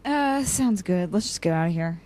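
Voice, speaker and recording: A young woman playing a dry, cynical, practical operative in an audio drama, with a deadpan, sardonic way of talking. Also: lowish voice, normal speed, lightly acted. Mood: wry, impatient. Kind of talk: audio drama dialogue and log entries.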